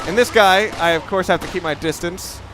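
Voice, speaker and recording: A man's voice: high-pitched, a little fast, music in the background, poor audio. A man giving casual, rambling commentary over a video game, wry and often stopping to restart his sentences. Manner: rambling, wry, self-interrupting. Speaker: let's play commentator.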